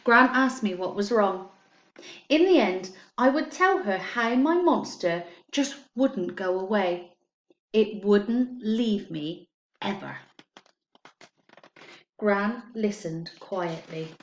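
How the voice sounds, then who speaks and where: An echoey recording of a woman with a mid-pitched voice, speaking as a primary teacher to young pupils. She delivers her words in an expressive storytelling style, leaning on key words and keeping things simple and clear for children. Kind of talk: children's story read aloud, addressing pupils. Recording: echoey, roomy sound.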